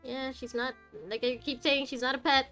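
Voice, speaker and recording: A woman's voice: sits high, level tone, moderate pace, pushed and bright, soft background music. A woman streaming a game, chatting and teasing the characters in casual commentary.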